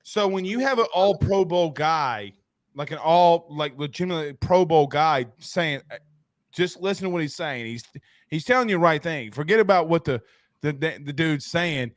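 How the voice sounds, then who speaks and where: A man hosting a sports podcast, interviewing in a casual, animated and very informal way. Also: a little high, normal speed, no room sound. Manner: animated, informal, peppers talk with "you know, like".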